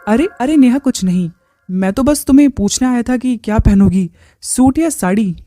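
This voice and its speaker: Woman narrator as smooth-talking married lecher in a moral tale, mellow, sly, persuasive. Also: a little high, moderate pace, no room sound, clean studio sound, soft background music, pitched above the performer's own voice, close to an everyday voice. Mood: flustered. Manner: coaxing, sly.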